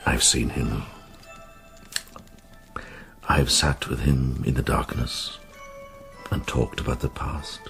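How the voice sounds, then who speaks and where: Very deep, gravelly old monk. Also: male voice, fairly even intonation, slow pace, long pauses, fairly bright tone, soft background music, full character voice. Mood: tender, proud. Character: worldly old monk, former crusader, gruff yet tender. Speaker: lead actor in a radio drama. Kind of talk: radio drama acting.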